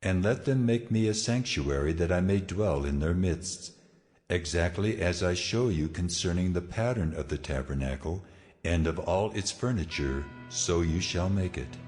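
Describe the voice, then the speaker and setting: An actor playing God in a dramatized scripture reading, solemn, majestic and authoritative, with measured delivery. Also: male voice, sits low, natural voice. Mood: solemn, commanding. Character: God, a solemn, commanding, majestic male deity. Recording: long cavernous reverb over soft music.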